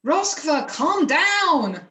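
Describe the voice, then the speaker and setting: A woman playing a strict yet humble peasant farmer parent, measured and polite, in a play reading. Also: high voice, sing-song, sharp tone, full character voice. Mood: scolding. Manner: measured, deferential, hesitant. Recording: roomy echo, distant mic.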